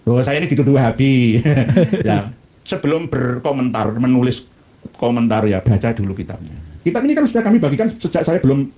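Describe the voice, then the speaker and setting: A man's voice: mid-range voice, very animated, brisk, warm tone. A man with a Javanese Indonesian accent giving an informal religious lecture as a cleric, satirical and fond of rhetorical questions and Arabic quotations. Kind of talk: informal religious lecture reading and critiquing a book.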